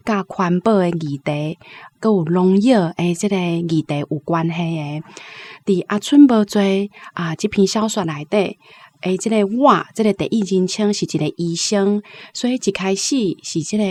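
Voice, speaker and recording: A woman's voice: mid-range voice, darkish tone. Woman explaining a story plot. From Taiwan. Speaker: guest discussing the novel. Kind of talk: literary discussion.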